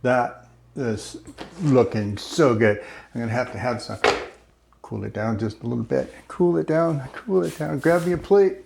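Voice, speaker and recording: A man hosting a home cooking video, chatty and relaxed, talking through each step of a simple recipe. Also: mid-range voice, moderate pace, gravelly, background noise. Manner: relaxed and chatty, slips into a joking mock-Italian accent. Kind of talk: casual cooking demonstration.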